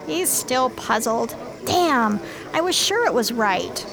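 An eager, curious female scientist from a sci-fi radio play. Her voice is high and very expressive, with slow, deliberate delivery over a faintly noisy track. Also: creaky, a crowd in the background, heavily acted. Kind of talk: radio drama acting.

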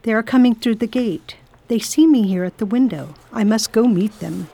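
A woman playing a brave, brisk lady traveler in a radio drama, with a commanding manner. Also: on the high side, vocal fry, mellow. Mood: reflective. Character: firm, brave lady traveler nursing a patient.

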